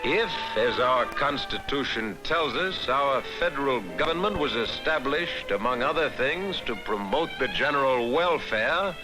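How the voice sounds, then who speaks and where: An actor playing a stately, self-assured American president in an educational film, speaking in formal oratorical phrases. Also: male voice, medium pitch, expressive, a little slow, hardly any pauses, close to an everyday voice, low-quality recording. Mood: stately, earnest. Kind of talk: formal oratorical quote reading. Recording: old film soundtrack with loud music.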